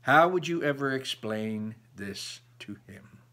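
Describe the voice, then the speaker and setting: A man's voice: mid-range voice, lively intonation, takes it slowly, pushed and bright, a little hiss or hum. A man voicing a stern, skeptical storybook father who challenges his teenage daughter.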